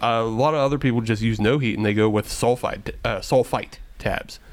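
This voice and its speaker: A man's voice: medium pitch, fairly even intonation, brisk. A man co-hosting a casual podcast chat, explaining things in a rambling way with lots of fillers. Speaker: podcast co-host.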